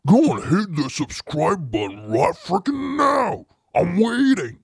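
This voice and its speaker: A man voicing a commanding, deep figure through a pitch-shifting voice changer. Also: very animated, slow pace, slightly rough, no room sound, clean studio sound, heavily acted. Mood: bossy, impatient. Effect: pitch shifter lowering the voice.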